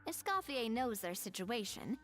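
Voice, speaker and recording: Poised young lady, high voice, lively intonation, playful, persuasive, game dialogue. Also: normal speed, faint music behind. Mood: explaining. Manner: teasing, reassuring, articulate. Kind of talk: animated game voice acting.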